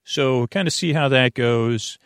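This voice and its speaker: A man hosting a sleep podcast in a meandering, halting monologue, often losing his thread. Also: medium pitch, clean tone, dry recording, studio quality. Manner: meandering, halting, loses his train of thought. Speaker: podcast host.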